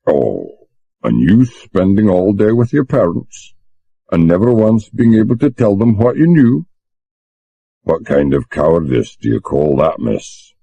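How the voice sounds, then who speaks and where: A man voicing a grave, steady older sailor with a Scottish accent, speaking slowly and with measured calm. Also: sits low, vocal fry, breathy edge, no echo, dull audio, full character voice.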